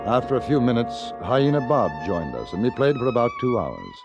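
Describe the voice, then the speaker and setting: An American actor playing a calm, observant western traveler who narrates his own story in a radio drama. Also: medium pitch, a little fast, loud music behind the voice. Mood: measured, watchful.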